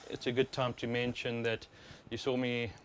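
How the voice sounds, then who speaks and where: A South African man hosts a live stream in a casual, explanatory way. His voice sits at an average male pitch. He talks informally into a worn wireless mic, with some ambient noise behind him. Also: moderate pace. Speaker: livestream host, overclocker. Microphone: wireless worn microphone. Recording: clear steady background noise.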